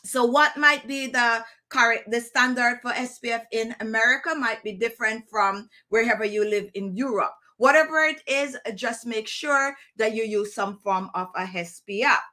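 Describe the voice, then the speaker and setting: A middle-aged woman from Jamaica with a Jamaican accent, chatting to camera in a relaxed, digressive skincare tutorial, laughing now and then. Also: fairly high pitch, normal speed, few pauses. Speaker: skincare vlogger. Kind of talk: casual tutorial talk to camera. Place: indoor room with plants and screen.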